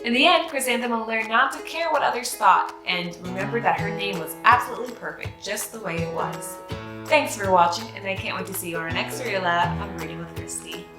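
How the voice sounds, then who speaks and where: A woman's voice: mid-range voice, a little fast. A woman reads a children's story aloud in scripted storybook narration. Her voice sits in a roomy, echoing space, with faint music behind it. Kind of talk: scripted picture-book narration.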